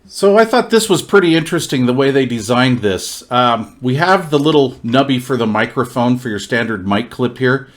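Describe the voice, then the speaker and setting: A man's voice: medium pitch, expressive, normal speed, hardly any pauses. A man reviewing gear hands-on in a casual, informal, folksy way, often repeating his phrases.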